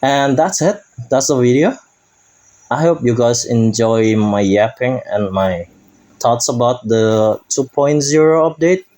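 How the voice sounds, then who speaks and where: A man runs through a game update in a relaxed, unhurried way. His English is halting, and he stops often to search for the right word. His voice sits in a mid range. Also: medium pitch. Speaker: gaming YouTuber. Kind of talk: casual game update commentary.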